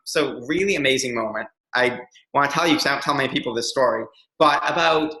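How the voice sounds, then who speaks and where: Interview guest: young man, earnest and reflective, crisp bright voice, roomy echo. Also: mid-range voice. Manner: earnest, reflective, many ums. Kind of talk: candid personal storytelling.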